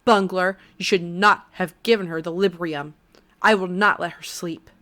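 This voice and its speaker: A woman's voice: fairly low pitch, steady tone, normal speed, a bit bright, lightly acted. A woman voicing a seething, contemptuous demon who threatens and mocks. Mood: seething menace. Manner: seething, menacing.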